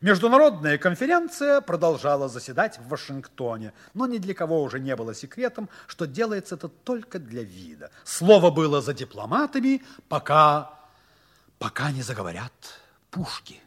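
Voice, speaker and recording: A man's voice: on the high side, huge pitch swings, normal speed, a bit bright, heavily acted. A man giving dramatic, mock-solemn scripted narration for a 1960s Soviet radio play. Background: music.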